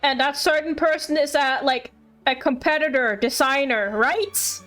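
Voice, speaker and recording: A woman live-streaming a game with casual, playful, excitable commentary, joking along as she goes. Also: high voice, unhurried. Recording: faint game music behind.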